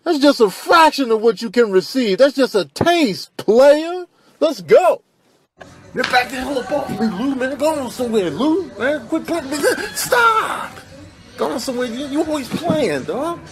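A man's voice: very high-pitched, expressive, a little slow, over music. A man voicing scrappy, indignant animals in a comic dub, talking slangy, repetitive trash. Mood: annoyed, pleading. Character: scrappy, fast-talking male animals, cats and goats, indignant.

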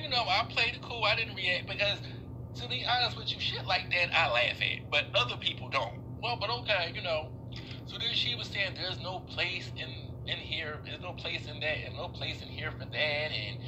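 A young man rants into a phone held low at arm's length, swearing freely. His fairly high voice swings up and down as his feelings rise. Room noise and passing vehicles sit clearly behind him. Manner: animated, swearing, voice swinging up and down in pitch. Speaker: vlogger venting on a live stream. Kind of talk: casual ranting monologue. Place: indoors in a workplace room. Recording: phone live stream with clear background noise.

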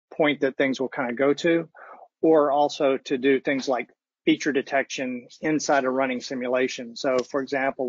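A man's voice: mid-range voice, slightly rough, no room sound. A man speaking as a technical panelist in an unscripted discussion. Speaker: panelist from a supercomputing research facility. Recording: dull, lo-fi online call audio.

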